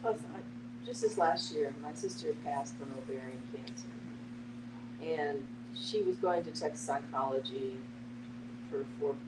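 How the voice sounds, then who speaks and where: A middle-aged woman giving an earnest personal account in a group discussion, speaking in an emphatic, deliberate way. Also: deep voice. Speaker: panel participant, family caregiver. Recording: echoey, distant mic, noisy hum.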